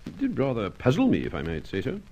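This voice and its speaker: An actor playing a courteous but prying English commander in an old radio serial, speaking with hesitant, fussy politeness. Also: male voice, medium pitch, wildly swooping pitch, quick pace, a little mellow, poor audio. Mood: politely prying. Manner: hesitant, fussily polite probing.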